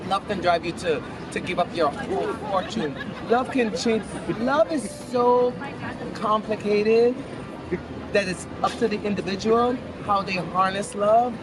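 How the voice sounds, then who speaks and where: A man from Guyana answering a street interview, speaking earnestly and personally with emphatic repetition, outdoors on a city street. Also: sits high. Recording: noisy outdoor street with traffic.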